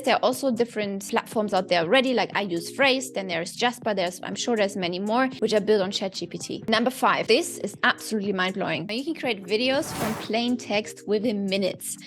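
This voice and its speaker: A woman with a German accent, German her first language, giving an upbeat, emphatic business explainer to camera, often checking in with "right?". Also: mid-range voice. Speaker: business coach and YouTube host. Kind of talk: enthusiastic talking-to-camera explainer.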